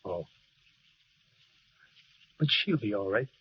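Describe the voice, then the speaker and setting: An actor playing an anxious, devoted soldier husband with urgent, earnest delivery in an old radio drama style. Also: male voice, fairly low pitch, lively intonation, takes it slowly, husky, heavily acted. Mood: fearful. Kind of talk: 1940s radio drama acting. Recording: muffled vintage radio recording with music.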